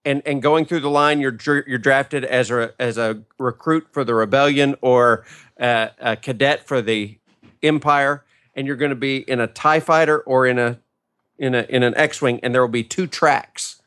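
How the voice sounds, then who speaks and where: A man's voice: mid-range voice, fairly even intonation, moderate pace, fairly bright tone. A man on a casual discussion panel, explaining his ideas with enthusiasm. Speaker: podcast panellist.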